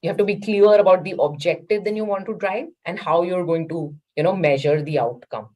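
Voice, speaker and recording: A woman's voice: on the low side, fairly quick, dark tone. A woman explaining marketing results on a webinar panel, often tagging sentences with "right?". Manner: explanatory, frequent "right?" tags and fillers.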